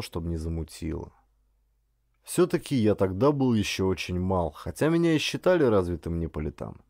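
A man reading a first-person literary story aloud as an audiobook narrator, in an expressive storytelling manner. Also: lowish voice, no echo, clean studio sound.

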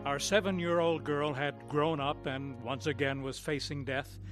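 A man narrating a scripted radio drama in a measured, solemn, theatrical old-time announcer manner. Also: a little high, fairly bright tone, faint music behind.

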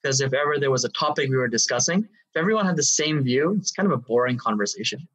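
A man in his thirties from Hong Kong, a guest explaining his consulting work in a measured way with some fillers. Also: mid-range voice, lively intonation, a bit bright, husky, distant mic, rough recording. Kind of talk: interview answers.

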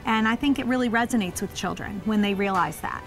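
A middle-aged woman speaking for a historic site, explaining her views in an earnest, measured way. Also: medium pitch, soft background music, poor audio. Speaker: museum representative. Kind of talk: interview conversation. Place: outdoors on a historic street.

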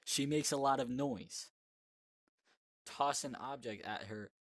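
A man hosting a VR horror let's play, excitable, yelping and shouting when scared. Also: medium pitch, slow pace, no echo, clean studio sound. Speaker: gaming host. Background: game music.

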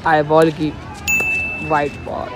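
A man's voice: on the high side, monotone, slow pace, a crowd in the background. A man giving ball-by-ball commentary on an amateur street cricket match, calling each delivery in a repetitive set pattern, recorded outdoors on a phone.

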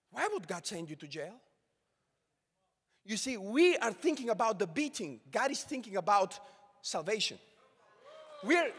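A young man preaching a sermon to a congregation with a handheld mic, swinging from shouted calls to hushed asides. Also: very high-pitched, creaky. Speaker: pastor. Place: large church auditorium with congregation. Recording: long hall reverb from the sanctuary.

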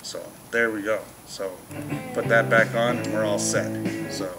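A man's voice: lowish voice, fairly even intonation, a little slow, creaky, over loud music. A man in his fifties giving a casual, folksy, plain-spoken product walkthrough as a shop demonstrator, in an indoor showroom. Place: store showroom with shelves, indoors. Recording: noisy showroom background hum.

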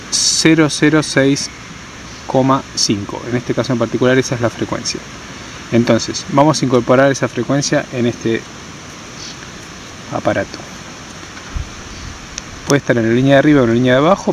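A man's voice: medium pitch, slight background noise, low-quality recording. A man giving a hands-on tutorial outdoors, explaining step by step and repeating key terms. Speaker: tutorial presenter. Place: outdoors on stony ground.

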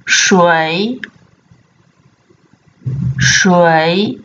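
A woman teacher reads vocabulary words and sentences aloud, repeating each one slowly and deliberately for learners. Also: fairly low pitch, a bit bright. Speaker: language teacher. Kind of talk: vocabulary drill reading. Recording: dull lo-fi sound.

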